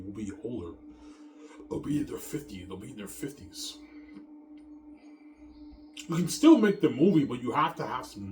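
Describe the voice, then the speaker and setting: A man in his thirties with a lowish voice talks straight to his audience, circling back over the same points and tripping over his own restarts. He sounds sure of himself throughout. Music plays clearly underneath him. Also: darkish tone, low-quality recording. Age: 30s. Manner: repetitive, self-assured, restarts often. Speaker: vlogger. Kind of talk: rambling monologue to viewers.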